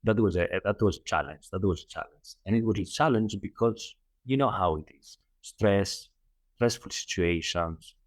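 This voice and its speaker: A middle-aged man from Greece with a Greek accent, a coach answering interview questions earnestly, often repeating himself and pausing on fillers. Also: fairly low pitch, dry recording, clean studio sound. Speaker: guest, holistic coach.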